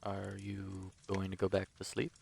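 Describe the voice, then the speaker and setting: A man playing a calm, patient storyteller telling a bedtime tale in a fantasy audio drama. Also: deep voice, a little slow, gravelly, slightly rough, exaggerated voice.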